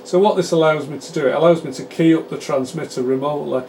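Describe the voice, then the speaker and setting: A British man with a mellow, relaxed voice presents a hands-on technical demonstration. He talks in a chatty, explanatory way with halting phrasing. Faint room noise sits behind his voice. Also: a little high, gravelly. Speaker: presenter demonstrating radio repair equipment.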